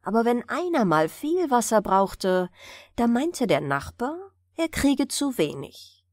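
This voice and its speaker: Woman, fairy-tale narrator, lively intonation, slightly high voice, bedtime storytelling. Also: takes it slowly, no echo, clean studio sound. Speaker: audiobook narrator of fairy tales.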